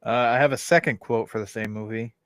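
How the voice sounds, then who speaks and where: A man co-hosting a laid-back podcast, talking in loose, rambling sentences that he keeps correcting. His voice sits at an ordinary male pitch. It is recorded close and dry, with no room around it. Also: steady tone, fairly quick, a bit bright, dry recording. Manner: rambling, self-correcting, laughs at himself. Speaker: podcast co-host. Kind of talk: casual chat.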